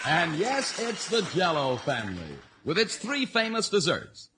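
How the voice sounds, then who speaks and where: A man's voice: high voice, wildly swooping pitch, a little slow, slight background noise. A man announcing a 1940s radio show in a polished, emphatic scripted style. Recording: old radio broadcast with loud music. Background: loud music.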